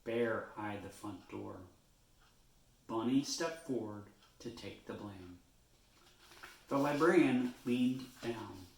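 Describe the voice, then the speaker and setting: A man reading a children's storybook aloud in an expressive way, stretching words for emphasis. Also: fairly low pitch, gravelly, reverberant, a little hiss or hum, rough recording. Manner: expressive, drawn-out phrasing with emphasis.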